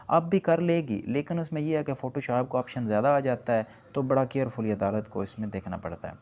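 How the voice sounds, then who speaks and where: Gravelly Pakistani man giving a lecture. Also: medium pitch, darkish tone. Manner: code-switches into English terms, slow deliberate reading of quoted points. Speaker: law lecturer. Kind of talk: explanatory lecture, reading out points and explaining them.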